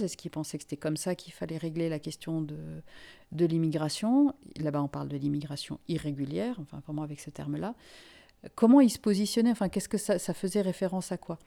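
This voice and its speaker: A woman from Finland speaks in a low, mellow voice. She answers interview questions thoughtfully and often circles back over her own words while she works out a point. She sounds like a journalist in her forties talking through her work. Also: gravelly. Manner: reflective, frequent restarts and repeated words. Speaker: freelance journalist, guest.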